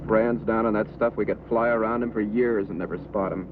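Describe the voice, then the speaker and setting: A man's voice: lowish voice, fairly even intonation, fairly quick, natural voice. An actor playing a blunt, impatient aerial search crewman who grumbles, in a mid-century film drama. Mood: irritated. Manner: blunt, griping. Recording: tinny old soundtrack, loud engine noise.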